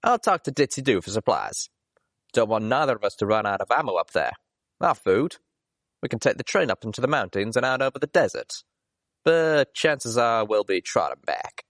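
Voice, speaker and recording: A man's voice: mid-range voice, sing-song, moderate pace, a bit bright, dry recording. A loyal cowboy pony companion from a storybook , voiced by a man, folksy and teasing. The country talk rises and falls freely, recorded dry and close. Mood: determined. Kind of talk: character voice in a storybook reading.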